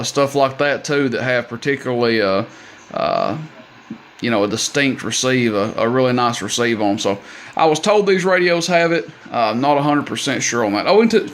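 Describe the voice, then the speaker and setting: A man giving a casual, plain-spoken product demonstration of equipment, with folksy, hesitant wording. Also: mid-range voice. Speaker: radio seller demonstrating equipment. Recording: amateur video with clear background noise.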